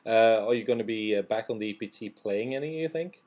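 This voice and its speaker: A man interviewing a guest, asking questions haltingly and restarting often. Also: on the low side, a little mellow.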